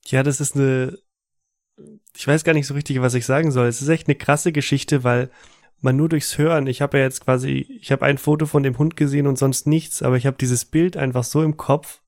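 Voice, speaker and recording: A man's voice: mid-range voice, steady tone, fairly quick, no echo, clean studio sound. A man co-hosting a casual podcast, speaking thoughtfully. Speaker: podcast co-host.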